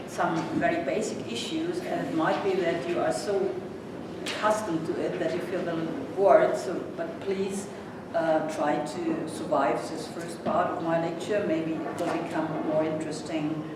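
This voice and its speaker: Echoey, distant German woman lecturing. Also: fairly low pitch. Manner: halting, self-deprecating, courteous. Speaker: guest lecturer, professor. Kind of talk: seminar lecture. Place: indoor seminar room. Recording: echoey room from far off, noisy.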